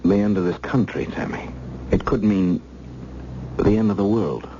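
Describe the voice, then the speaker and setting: An actor playing a tense, secretive government agent and devoted husband in a radio drama. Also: male voice, lowish voice, fairly even intonation, moderate pace, natural voice. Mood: grave, guarded. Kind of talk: 1970s radio drama acting. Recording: dull lo-fi radio recording, loud noise.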